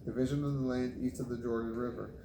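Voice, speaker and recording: A man reading scripture aloud as a Bible reader, haltingly, stumbling over unfamiliar names. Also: mid-range voice, fairly even intonation. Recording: echoey room with clear background noise.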